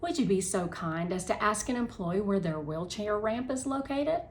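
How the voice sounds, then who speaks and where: A woman's voice: mid-range voice, expressive, moderate pace, slight background noise, close to an everyday voice. Woman as a firm, controlled mother. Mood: polite.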